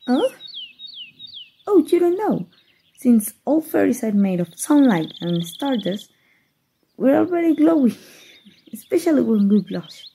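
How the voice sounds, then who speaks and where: A woman's voice: high voice, big pitch swings, measured pace, vocal fry, long pauses, warm tone, slight background noise, exaggerated voice. A woman voice actor voicing a playful, flustered young fairy in an ASMR roleplay, giggling and stammering shyly.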